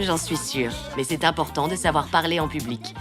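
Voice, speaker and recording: Une comédienne qui joue une maîtresse d'école gentille mais ferme dans un dessin animé pour enfants. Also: female voice, low-pitched, fairly quick, loud music behind the voice, lightly acted. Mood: kind, firm. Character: kind, firm primary school teacher, adult woman. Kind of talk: French children's cartoon dubbing.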